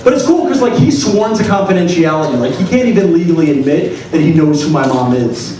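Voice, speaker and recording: A man in his twenties performing a stand-up set on a comedy club stage, punchy and profane, with deadpan punchlines. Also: on the high side, fairly quick, background noise. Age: 20s. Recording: echoey club sound from a distance. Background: audience laughter.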